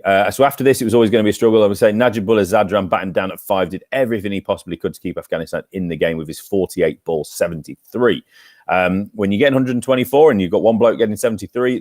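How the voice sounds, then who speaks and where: A man's voice: low-pitched, darkish tone, no room sound, studio quality. A man co-hosting a cricket podcast, chatty and teasing as he reels off match stats. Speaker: podcast co-host. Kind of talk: casual cricket chat and match recap.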